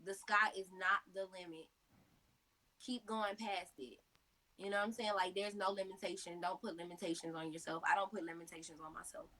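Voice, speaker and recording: A woman's voice: on the low side, fairly even intonation, a little fast, sharp tone, low-quality recording. A woman in her thirties answering interview questions with earnest, motivational advice and lots of fillers. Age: 30s. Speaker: interview guest, mother.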